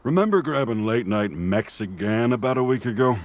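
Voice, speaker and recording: An actor playing an easygoing, teasing family friend in a drama, with a casual, playful and kind delivery. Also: male voice, mid-range voice, expressive, moderate pace, close to an everyday voice. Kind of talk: conversational audio drama dialogue.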